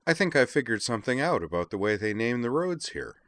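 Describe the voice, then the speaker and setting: A man's voice: medium pitch, big pitch swings, brisk, a bit bright, dry recording, pitched above the performer's own voice. A man voicing an observant, helpful woman in an audiobook, earnest and explanatory in manner.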